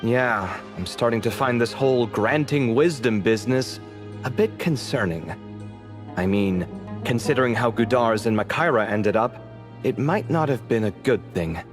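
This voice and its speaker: A man voicing a sarcastic, well-read young male scholar in a game, expressive in his delivery. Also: mid-range voice, moderate pace, music in the background, close to an everyday voice. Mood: thoughtful.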